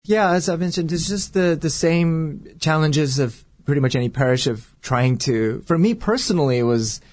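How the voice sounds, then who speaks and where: A man who is a priest, talking earnestly and reflectively in an interview and sometimes pausing to search for words. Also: a little high, expressive, no room sound, studio quality. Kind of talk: casual interview talk.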